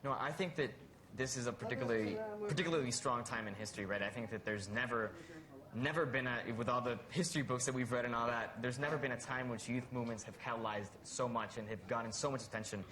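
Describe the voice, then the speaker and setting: A young man with a medium-pitched voice gives his view on a panel. He talks conversationally and thoughtfully, often seeking agreement as he goes. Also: fairly even intonation, quick pace, a crowd in the background. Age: young adult. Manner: thoughtful, frequently seeks agreement. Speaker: youth activist panelist. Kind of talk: panel discussion, conversational opinion. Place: live conference panel.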